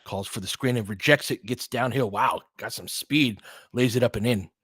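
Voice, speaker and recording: Excitable man calling a basketball game. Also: mid-range voice, breathy edge, no echo, studio quality. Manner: quick, excitable calls with chatty asides. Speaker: play-by-play commentator. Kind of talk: fan-made basketball play-by-play commentary.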